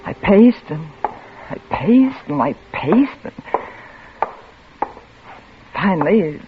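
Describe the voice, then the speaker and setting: A woman's voice: fairly low pitch, big pitch swings, slow pace, background noise. An actress playing an anxious, stubborn young woman investigator in a 1940s radio thriller, with a dramatic delivery that stammers when rattled. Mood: tense narrating. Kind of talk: 1940s radio thriller acting with first-person narration. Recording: tinny old radio recording with music.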